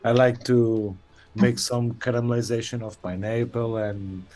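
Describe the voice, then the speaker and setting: A man's voice: mid-range voice, little pitch movement, a little slow, crowd noise. A man from Portugal, a guest trader and chef, chatting casually and searching for words. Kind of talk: casual chat.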